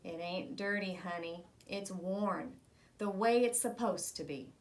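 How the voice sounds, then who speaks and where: A woman's voice: on the low side, measured pace, pitched below the performer's own voice. A woman reading a children's storybook as a wise, patient old grandmother, fond and proud, speaking in a folksy dialect. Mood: gently correcting. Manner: folksy dialect with ain't and gonna. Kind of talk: children's storybook read-aloud.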